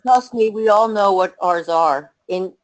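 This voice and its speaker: A woman's voice: medium pitch, a little slow, dry recording. A woman taking part in a webinar call, teasing the host playfully. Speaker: webinar participant.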